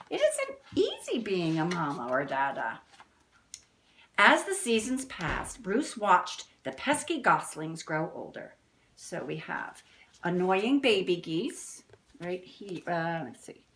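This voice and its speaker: A woman's voice: on the low side, a bit bright. A woman reading a picture book aloud to young children, adding chatty explanations and questions as she goes. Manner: addresses young listeners directly with simple explanations and questions. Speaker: children's story-time reader.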